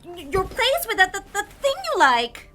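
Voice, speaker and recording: A shrill, dim, fawning sidekick. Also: female voice, sing-song, moderate pace, pushed and bright, a little hiss or hum, exaggerated voice. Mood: excited, clueless. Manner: echoes herself in eager agreement. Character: Madeline, dim, fawning suburban sidekick woman. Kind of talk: comedic audio drama dialogue.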